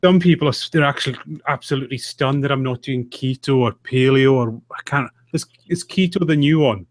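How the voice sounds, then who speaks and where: A man's voice: medium pitch, brisk, no room sound. A man chatting casually and conversationally as a podcast co-host.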